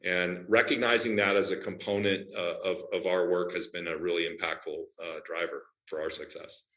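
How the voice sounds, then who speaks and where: A man speaking as a healthcare company executive in an interview, measured and businesslike with frequent fillers. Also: sits low, little pitch movement, sharp tone, echoey room. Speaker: healthcare company CEO, guest. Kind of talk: interview answers.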